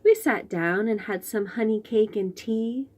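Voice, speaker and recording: A woman storyteller reading picture books aloud to young children, gently and with wonder, pausing to chat to the listeners. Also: mid-range voice, noisy background. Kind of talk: expressive picture-book read-aloud with asides to young listeners.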